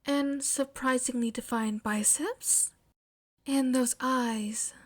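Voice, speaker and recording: A woman's voice: sits high, fairly even intonation, slow. A woman voicing a shy, flustered teenage girl in love, with hesitant delivery, as in an audiobook. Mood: shy, smitten. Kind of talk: audiobook character dialogue.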